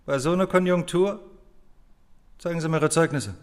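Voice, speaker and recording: A man plays an imperious department store boss in a German radio play. His voice is slightly high for a man, and his manner is curt and officious. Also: normal speed, noisy background, lightly acted. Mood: brusque, dismissive. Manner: brusque, officious.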